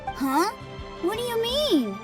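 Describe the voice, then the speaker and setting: A little boy from a video game with a high, childlike voice. He is eager and innocent, proudly playing the great swordsman. Music is clearly audible behind him. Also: big pitch swings, slow pace, full character voice. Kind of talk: scripted video game voice acting.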